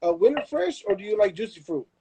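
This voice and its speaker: A man's voice: sits high, fast, rough recording. Emphatic young man. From Southern California. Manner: emphatic, animated, repeats himself. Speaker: host. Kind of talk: casual live chat.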